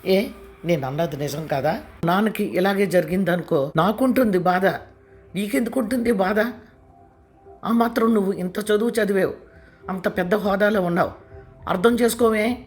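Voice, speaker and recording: Brief: man putting on a demanding young wife in an audio drama; heated, high, scolding. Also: normal speed, darkish tone, lightly acted. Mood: angry.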